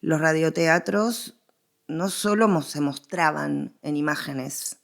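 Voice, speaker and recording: Argentine woman, low-pitched creaky expert voice. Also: takes it slowly. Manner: explanatory, emphatic repetition and examples. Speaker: interviewed researcher of radio history. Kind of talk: conversational expert interview.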